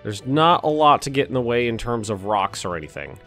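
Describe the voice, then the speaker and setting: A man with a slightly hoarse voice talks through a city-building game at an unhurried, conversational pace, thinking aloud as he places things. His pitch sits in an ordinary middle range, and faint game music plays underneath. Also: sing-song, fairly bright tone. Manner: thinking aloud, muttering, mild cursing. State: a little hoarse. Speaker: let's play host. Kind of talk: casual gameplay commentary.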